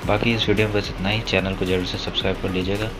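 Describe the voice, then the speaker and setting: Low, flat-toned Indian man over music. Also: very fast. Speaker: gaming YouTuber. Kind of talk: casual news commentary. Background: music behind the voice.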